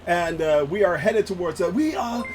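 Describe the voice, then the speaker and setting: A Dutch man with a clear Dutch accent talks his way through a live stream, chatty and excitable, often breaking into laughter. His voice sits fairly high and leaps up and down a great deal as he reacts. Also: normal speed, music in the background. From Netherlands. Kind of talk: casual live-stream chat while flying a simulator.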